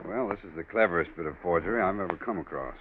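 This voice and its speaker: An actor playing a methodical, cautious police sergeant in a 1940s radio drama, explaining in a measured way. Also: male voice, deep voice, natural voice. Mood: matter-of-fact. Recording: tinny, faintly noisy 1940s radio recording.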